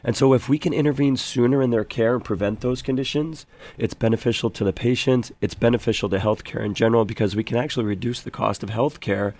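A hoarse man, a physician guest, speaking in a polished, promotional way during a radio studio interview. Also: mid-range voice, fast, darkish tone.

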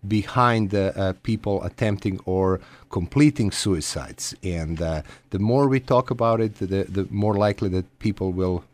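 A man with a Croatian accent answering radio interview questions as an expert guest, haltingly and with frequent pauses. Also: fairly low pitch. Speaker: guest psychiatrist and researcher.

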